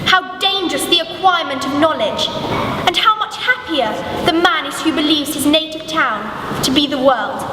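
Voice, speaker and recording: Young woman as regretful scientist, high, distant voice. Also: normal speed, close to an everyday voice. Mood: solemn warning. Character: regretful scientist warning against dangerous ambition. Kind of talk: dramatic literary reading. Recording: echoey church hall, distant mic, noisy.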